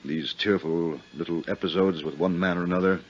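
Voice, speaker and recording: Smooth, low-pitched, bristling big American man, acted. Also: fairly even intonation, brisk, a little hiss or hum, lightly acted. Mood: subdued, earnest. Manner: smooth, controlling. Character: large, bristling, smooth-mannered, possessive man. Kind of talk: 1950s radio drama acting. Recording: dull 1950s radio recording.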